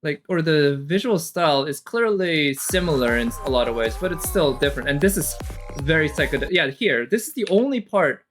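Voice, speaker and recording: A man's voice: on the high side, lively intonation, fairly quick, a bit bright, soft background music. A man giving casual, off-the-cuff commentary as a streamer, analytical and hesitant as he thinks aloud. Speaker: reaction streamer.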